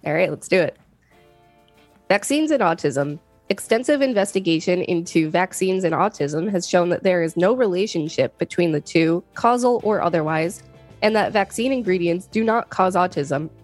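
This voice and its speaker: A woman's voice: on the low side, animated, normal speed, darkish tone, soft background music. A woman co-hosting a podcast, reading an encyclopedia article aloud and commenting on it. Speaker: podcast co-host.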